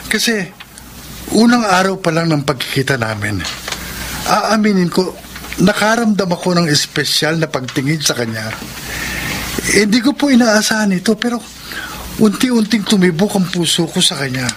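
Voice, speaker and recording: A young Filipino son in a radio drama, earnest and stubbornly in love. His voice sits high for a man, with clear noise behind it. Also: big pitch swings, moderate pace, pauses often. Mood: sincere, lovestruck. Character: earnest, lovestruck, defiant young adult son.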